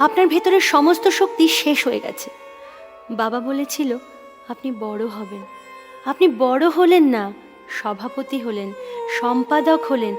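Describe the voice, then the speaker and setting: Woman acting high-voiced, wounded young female admirer. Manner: emotional, poetic, deliberate delivery. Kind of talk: Bengali audio drama acting. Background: background music.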